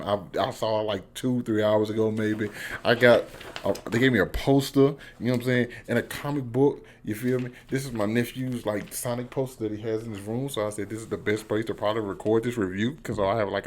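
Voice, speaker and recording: A man's voice: fairly low pitch, a little hiss or hum. A man with an American accent giving a casual, slangy, emphatic one-take review as a YouTuber. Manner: slangy, informal, excitable, emphatic.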